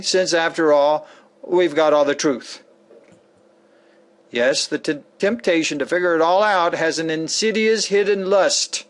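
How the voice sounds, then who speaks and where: A man with a high-pitched voice reads a scripted lecture like a sermon. He phrases things deliberately and emphatically, with a theatrical touch. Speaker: author reading a sample of an e-book lecture.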